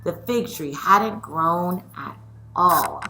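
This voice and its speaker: A woman teacher reading a children's story aloud and discussing it in an expressive style. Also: fairly low pitch, slow pace, background noise.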